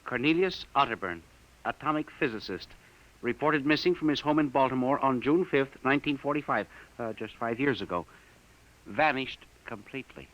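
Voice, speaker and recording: A man's voice: medium pitch, expressive, moderate pace, muffled recording, close to an everyday voice. A man playing a timid, stammering records clerk. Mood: fussy. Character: meek, fussy male records clerk. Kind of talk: 1950s radio drama acting. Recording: scratchy, hissy old radio recording.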